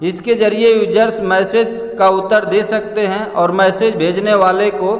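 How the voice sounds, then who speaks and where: A man reads a scripted explainer in a halting way, addressing listeners as friends. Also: sits high, fairly even intonation. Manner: halting read-aloud delivery, often addressing listeners as friends. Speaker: presenter narrating an explainer. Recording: echoey room with long reverb.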